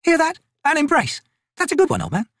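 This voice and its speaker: A narrator playing a gruff, sharp-tongued woman corporal in an audiobook, suspicious and drawling. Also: high-pitched, huge pitch swings, brisk, no room sound, clean studio sound, pitched above the performer's own voice, full character voice. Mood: wry menace. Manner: drawling, snapping, sneering.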